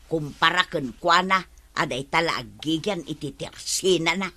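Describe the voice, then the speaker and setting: A woman's voice: deep voice, normal speed, sharp tone. A woman playing an aggrieved village laborer in a radio comedy drama, complaining with an Ilocano accent.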